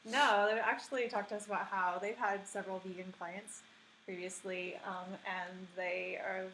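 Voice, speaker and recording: A woman doctor answering questions as a guest in a casual live stream, speaking in a calm, measured, matter-of-fact way. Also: medium pitch, a little hiss or hum, low-quality recording.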